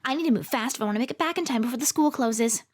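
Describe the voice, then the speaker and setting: A raspy teenage girl inventor, played by a woman, talks in a fairly high voice and rattles off her words at speed. She sounds curt and dismissive, always rushing off to her next job. This is a fan-made audio drama. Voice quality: slightly raspy.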